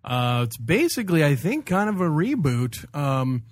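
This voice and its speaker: A man's voice: a little high, very animated, moderate pace, dry recording. A man co-hosting a casual podcast chat in an informal, slangy, joking manner. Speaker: podcast co-host.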